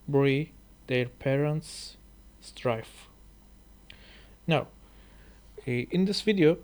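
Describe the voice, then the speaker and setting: Very slow, halting Turkish-accented man. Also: a little high, a little mellow, clear, resonant tone. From Turkey. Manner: hesitant, many pauses and fillers, apologetic. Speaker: YouTuber learning English. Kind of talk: halting casual reading vlog.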